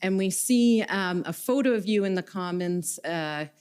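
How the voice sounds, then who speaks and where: A woman questioning a witness at a formal hearing, reading out document numbers and quoted messages in a measured way. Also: medium pitch, lively intonation, a little mellow, smooth. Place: hearing room.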